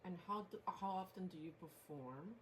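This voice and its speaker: A woman's voice: lowish voice, normal speed, fairly bright tone. A woman asking short interview questions from a little way off the microphone. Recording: distant voice with background noise.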